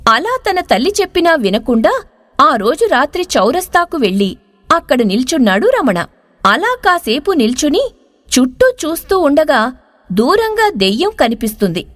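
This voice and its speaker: Casting: female story narrator, high voice, very lively intonation, scripted delivery, close studio sound. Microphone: close studio voice-over.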